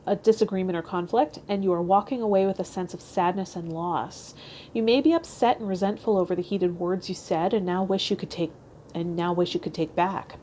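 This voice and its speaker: Woman, slightly low voice, mellow and relaxed tone, tarot vlog host, faint background noise. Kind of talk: reading card meanings aloud and casual vlog talk.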